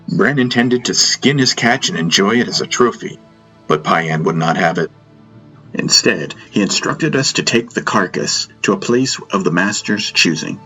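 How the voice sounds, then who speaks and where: A man's voice: sits low, sharp tone. A man narrating a first-person story as a dramatic audiobook.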